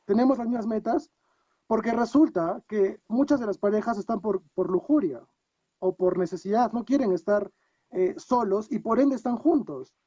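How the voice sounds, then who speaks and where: A man's voice: high-pitched, moderate pace, mellow, husky, dry recording, highs cut off. A man with a Peruvian accent, a guest on a video call, talking reflectively and asking himself many rhetorical questions. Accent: Peruvian Spanish. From Peru. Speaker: guest coach. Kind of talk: casual interview talk.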